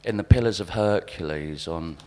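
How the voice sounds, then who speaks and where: A man with a wry, deadpan manner giving a live reading of observational anecdotes at a spoken-word event. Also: deep voice, moderate pace. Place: live literary event venue. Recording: live event recording with background hum.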